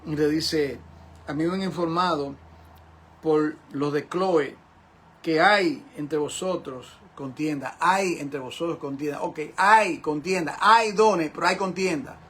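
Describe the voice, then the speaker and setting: A man's voice: a little high, a little slow, a bit bright, slightly rough. An older man teaching a Bible class as a pastor, in an emphatic, repetitive way with rhetorical questions to his listeners. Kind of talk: sermon-like Bible lesson.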